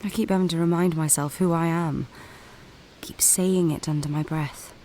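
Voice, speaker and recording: A woman's voice: deep voice, fairly even intonation, moderate pace, warm tone, natural voice. An actress playing an unsettled woman of science in an audio drama, murmuring breathily and mocking herself. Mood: unsettled, self-mocking. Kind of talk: audio drama inner monologue. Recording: faint noise and ambience.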